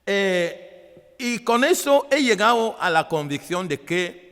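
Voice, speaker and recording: High-voiced man in an echoey hall. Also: very animated, vocal fry, fairly bright tone, clean tone. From Africa. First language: not Spanish. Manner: emphatic, halting, repeating phrases. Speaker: priest and author presenting a book. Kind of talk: public talk, preaching and persuasive. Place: hall at a book presentation. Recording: echoey hall with long reverb.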